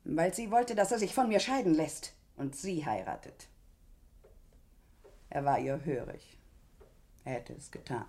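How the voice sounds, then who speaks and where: A jealous, defiant murderess in a radio crime drama. Her voice is deep for a woman, with dramatic swings in pitch. She speaks with icy, haughty theatricality. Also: expressive, measured pace, pauses often, dark tone. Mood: bitter, defiant. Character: cold, jealous, defiant wife turned murderess.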